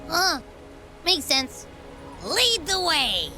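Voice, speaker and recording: A woman voicing a cheerful, chatty young companion character in a video game, playful and quick to exclaim. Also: very high voice, sing-song, bright, forceful tone, faint music behind, exaggerated voice. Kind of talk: game voice acting.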